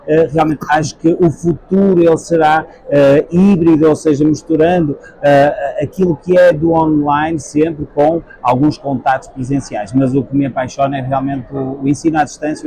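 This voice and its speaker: A man in his forties from Portugal, with a European Portuguese accent, answering interview questions in a measured way with frequent hesitations. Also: fairly high pitch, a little mellow, slight background noise, low-quality recording. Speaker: guest, edtech sales executive. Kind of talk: trade-fair interview answers. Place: busy expo hall.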